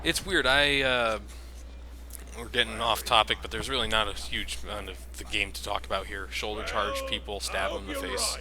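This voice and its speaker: A man's voice: lowish voice, level tone, normal speed. A man hosting a video game playthrough with casual, rambling, conversational commentary. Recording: clearly noisy background.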